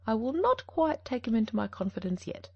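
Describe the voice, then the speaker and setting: A woman reading an audiobook, voicing a shrewd male detective who speaks in a measured, gently firm way. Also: on the high side, very animated, gravelly, husky, no echo, dull audio, exaggerated voice.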